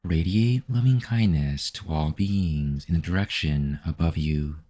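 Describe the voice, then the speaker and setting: A man narrating a guided meditation as the guide, in a measured, instructional manner. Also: a bass voice, mellow.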